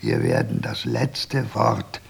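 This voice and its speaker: An old man, the last survivor, in a radio drama. His voice is very gravelly and husky. He declaims slowly and insistently, with solemn weight. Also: on the low side, huge pitch swings, exaggerated voice. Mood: portentous, brooding. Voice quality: raspy, gravelly.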